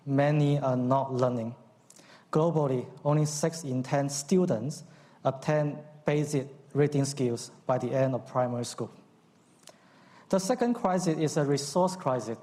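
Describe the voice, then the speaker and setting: A man with a Cantonese accent giving a formal speech at a conference, measured, with frequent ums and uhs. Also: medium pitch, warm tone. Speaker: conference speaker. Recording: long hall reverb.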